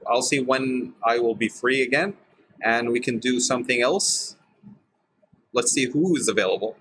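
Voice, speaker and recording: A man hosting a casual group chat about role-playing, chatty and quick to laugh. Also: mid-range voice, a bit bright, husky, poor audio. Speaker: podcast host.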